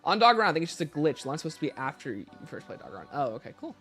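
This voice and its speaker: Animated man hosting a casual livestream. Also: on the high side, very fast, soft background music. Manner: informal, thinks aloud. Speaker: livestream host. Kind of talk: casual livestream Q&A, reading out and answering chat questions.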